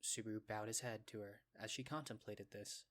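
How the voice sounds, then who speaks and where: A man reading scripted story narration, with a measured, descriptive storytelling delivery. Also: on the low side, steady tone, a bit bright.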